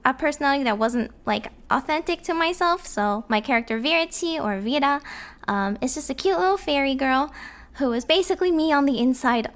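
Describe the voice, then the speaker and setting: Giggly high-voiced woman chatting. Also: expressive, a little fast, fairly bright tone. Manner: giggly, laughs often between phrases. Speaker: podcast guest, voice actor and streamer. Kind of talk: casual chat.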